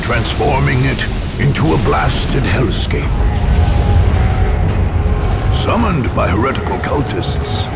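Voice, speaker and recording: Deep, sweeping male game narrator. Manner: grave, portentous storytelling. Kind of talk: dramatic scripted narration for video game cutscenes. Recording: loud music under the voice.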